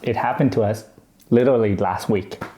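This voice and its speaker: A man's voice: lowish voice, moderate pace, dark tone, reverberant. A man from Thailand whose first language is Thai, co-hosting a casual podcast and speaking frankly and emphatically. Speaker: podcast co-host.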